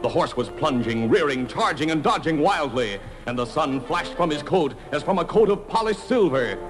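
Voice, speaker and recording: A man's voice: on the high side, lively intonation, normal speed, over music. A man narrating an old radio western in a declamatory, dramatic announcer's storytelling style.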